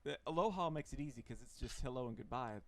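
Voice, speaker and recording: A man with a Texan accent hosting a casual livestream, relaxed and chatty, laughing often. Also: medium pitch, fast, dry recording. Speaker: host.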